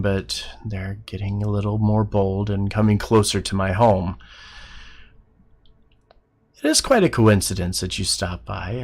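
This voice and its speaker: A man voicing a slow, gravelly bronze dragon. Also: on the low side, full character voice. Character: proud weary male bronze dragon.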